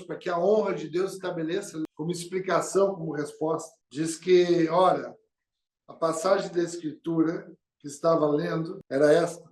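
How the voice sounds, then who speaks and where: A man's voice: a little high, a little mellow. A man preaching a sermon, full of rhetorical questions and calls of amen. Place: church hall. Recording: echoey church hall.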